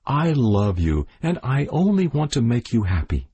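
A man voicing a gentle young princess who dotes on her pet bird, in a storybook reading. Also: medium pitch, big pitch swings, normal speed, breathy edge, voice pushed up. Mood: coaxing. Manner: coaxing and earnest.

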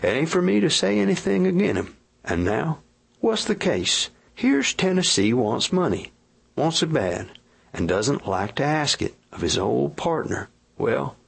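A man doing a rough rustic frontier miner. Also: medium pitch, voice pushed up, full character voice. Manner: rustic frontier dialect, folksy and rambling. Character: rough earnest male gold miner, awkward and plain-spoken.

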